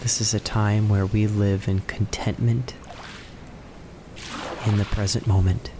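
A man narrating a guided relaxation in a measured, soothing manner, with long pauses between short phrases. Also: on the low side. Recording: steady ocean wave noise behind voice. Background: ocean waves and relaxation music.

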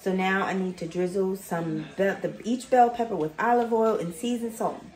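A woman's voice: medium pitch, normal speed, a little mellow. A middle-aged woman in a home kitchen, chatting casually to the camera and reading recipe steps aloud. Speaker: vlogger. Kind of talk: casual cook-along vlog. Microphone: camera on the counter. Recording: roomy kitchen echo.

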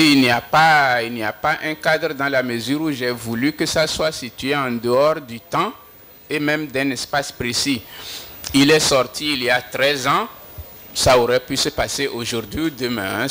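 A middle-aged man from Togo, French not his mother tongue, answering questions slowly and thoughtfully at a book fair talk, with many pauses and hesitations, speaking into a handheld mic. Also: mid-range voice, a bit bright. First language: a Togolese language. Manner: slow and reflective, many hesitations, gestures with free hand. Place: indoor book fair stage before an audience.

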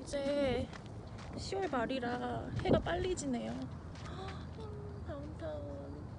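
Young woman, high voice, hiking vlog, breathless chatter, outdoor trail, handheld camera, loud outdoor noise. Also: animated, slow, vocal fry. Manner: breathless chatty remarks while hiking uphill. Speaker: vlogger filming a hike with her mother. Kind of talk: casual vlog narration.

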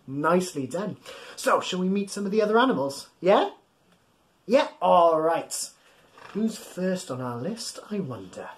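A man's voice: high-pitched, unhurried. A man in his thirties hosting an interactive picture-book read-aloud, playful and exaggerated, talking straight to young listeners and encouraging them. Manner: animated, physically acting along. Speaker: children's storytime host. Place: small indoor room at home.

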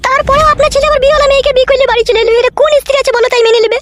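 A woman's voice: extremely high pitch, steady tone, racing. A woman playing an aggrieved, self-pitying young village wife in a comic cartoon, complaining in rustic slang in an artificially raised voice. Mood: indignant.

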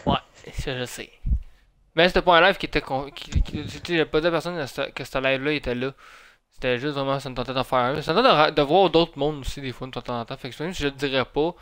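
A man's voice: medium pitch, fairly even intonation, quick pace, a bit bright, clean tone, dry recording. A man with a Quebec French accent chatting casually while gaming on a live stream, grumbling and swearing freely. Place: indoor room at home.

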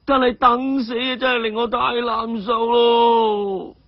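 A storyteller voicing a cheeky, quick-witted young man who pleads with exaggerated earnestness and dramatic sighs. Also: medium pitch, flat delivery, dry recording, voice pushed up. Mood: theatrical pleading. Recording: dull, muffled audio.

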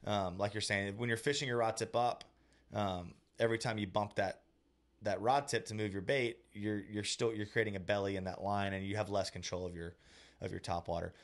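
A man's voice: on the low side, fairly even intonation, a little fast. A man in his thirties, co-hosting a podcast, explaining step by step with frequent restarts, recorded on a studio mic in a small room. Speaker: co-host, fishing guide. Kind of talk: casual podcast chat. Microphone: studio mic on boom arm.

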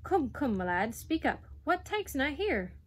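A woman's voice: fairly high pitch, sing-song, normal speed, a bit bright, exaggerated voice. Woman doing a blustering old duke. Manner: puffing, sputtering, broad dialect. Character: gruff blustering old male duke, hard of hearing.

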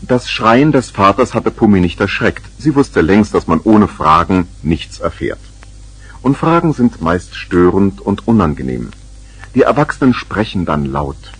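A man with a husky voice narrating a scripted 1970s children's radio play. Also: low-pitched, big pitch swings, measured pace, soft background music.

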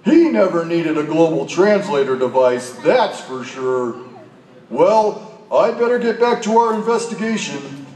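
A man voicing an eager, boastful detective dog puppet in a children's show, with exaggerated, clearly enunciated delivery. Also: a little high, big pitch swings, measured pace, darkish tone. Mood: earnest. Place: large hall, phone recording from the audience. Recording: echoey hall, far from the mic.

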